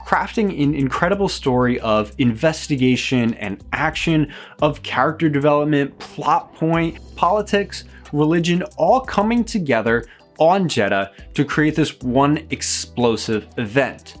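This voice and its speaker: A man's voice: fairly high pitch, expressive, a little slow. A man reading a scripted review as host, with an enthusiastic, emphatic presenter's delivery. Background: background music.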